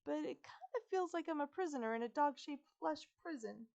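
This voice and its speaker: A woman's voice: high voice, creaky, dull audio, pitched above the performer's own voice. A woman voicing an anxious, chattering teenage girl turned into a dog, dramatically complaining in an audiobook. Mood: distressed, indignant. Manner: rapid, excitable, dramatic complaining. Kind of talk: audiobook character voice.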